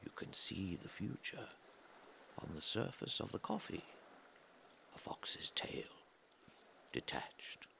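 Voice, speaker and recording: A man reading an atmospheric literary story in a measured way, pausing between short phrases, with a gravelly voice that often turns breathy and trails off. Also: lowish voice, huge pitch swings, sharp tone, whispered. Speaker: reader of a literary audio story. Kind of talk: slow atmospheric literary narration.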